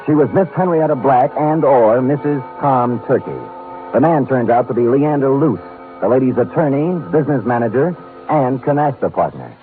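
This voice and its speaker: An actor playing a wry, hard-boiled private detective in a 1950s radio noir, dry and terse, on an old radio recording. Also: male voice, mid-range voice, lively intonation, normal speed, natural voice. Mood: wry, grim. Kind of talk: 1950s radio noir acting and first-person narration. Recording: tinny old radio recording, faint music.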